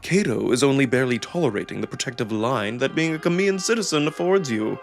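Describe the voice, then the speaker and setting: A man voicing a suave young jackal prince who speaks in a measured, smug, theatrically polite way. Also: medium pitch, expressive, faint music behind, natural voice. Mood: measured, cautioning.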